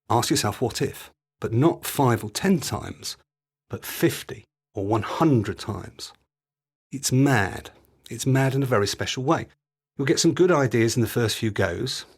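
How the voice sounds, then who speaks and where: A middle-aged British man narrating a scripted podcast as host, instructive, speaking in short deliberate phrases. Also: mid-range voice, breathy edge.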